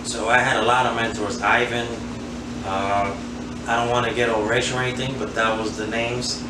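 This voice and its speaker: New man, flat and roomy. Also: mid-range voice, moderate pace, recorded from a distance. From Queens, New York. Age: 40s. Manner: relaxed, rambling, many fillers. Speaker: interview guest, handball player. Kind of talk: casual interview, reminiscing. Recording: roomy, off-mic, noisy.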